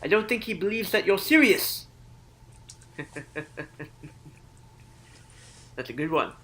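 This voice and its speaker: A man's voice: sits high, very animated, moderate pace, fairly bright tone. A man voicing a theatrical, ominous narrator in a comedy sketch. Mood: flustered. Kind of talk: parody narration.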